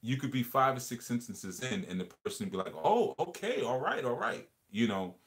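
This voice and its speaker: A man's voice: medium pitch, sing-song, moderate pace. A man hosting a casual livestream discussion, talking earnestly and reflectively and often repeating words as he builds a point. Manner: earnest, reflective, repeats phrases while explaining. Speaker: livestream host.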